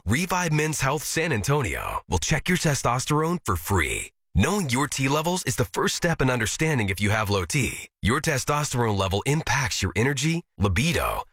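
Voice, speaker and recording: Male ad announcer, husky and lively, dry close mic. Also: medium pitch, no echo. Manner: punchy, emphatic sales delivery. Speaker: radio ad announcer. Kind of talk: scripted ad read.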